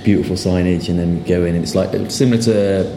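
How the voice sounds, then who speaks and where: A young man with a southern English accent talks in a relaxed, rambling way, often repeating his words. His voice sits low. The recording is roomy, with loud bar noise behind him. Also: quick pace. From England. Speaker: guest sign writer. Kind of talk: casual interview chat.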